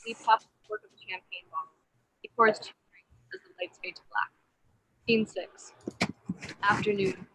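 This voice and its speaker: A woman's voice: mid-range voice, normal speed, pauses often, pushed and bright, breathy edge, poor audio. A woman narrating, reading out the stage directions of a play as scripted.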